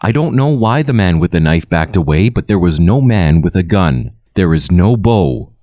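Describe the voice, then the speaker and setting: A man's voice: sits low, animated, moderate pace, dark tone, no echo, studio quality. A man voicing an irritated, no-nonsense young woman of the 1800s, curt and indignant, in a storybook tale. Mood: exasperated. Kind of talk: storybook character voice in narration.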